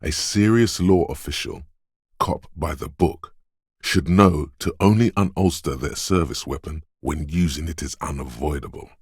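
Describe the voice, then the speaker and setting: A man voicing an inner voice of physical instinct in a video game, advising and narrating in a deliberate, precise, instructing way. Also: rumbling low, slightly rough, dry recording, clean studio sound, heavily acted. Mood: grave, ominous. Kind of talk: video game voice-over, second-person narration.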